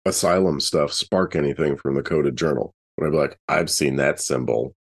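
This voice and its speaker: A man with a very low voice plays a tabletop role-playing game. He talks informally and casually, working out his ideas aloud as he goes. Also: moderate pace, slightly rough.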